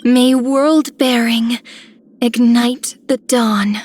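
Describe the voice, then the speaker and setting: Dreamy game girl, soft and affectionate, slightly high, unhurried. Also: steady tone. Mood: tender, hopeful. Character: tender, dreamy young woman, affectionate. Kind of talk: scripted game character voicelines.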